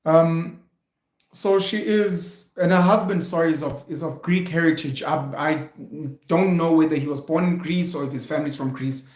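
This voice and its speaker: A South African man giving unscripted opinion commentary as a vlog host, speaking in a deliberate, emphatic, explanatory way. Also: a little high, a little mellow. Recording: echoey room.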